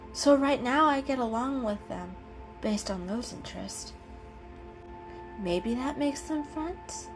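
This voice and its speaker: Woman as a gentle, anxious storybook cat. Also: fairly high pitch, voice pushed up, poor audio. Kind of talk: dramatic storybook reading. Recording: music behind the reading.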